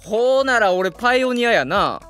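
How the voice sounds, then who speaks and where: A man acting an easygoing, well-meaning young high school teacher with a Kansai accent, mild and gently scolding. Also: very animated, normal speed, exaggerated voice. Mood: gently firm. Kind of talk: Japanese voice drama acting. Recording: music behind the voice.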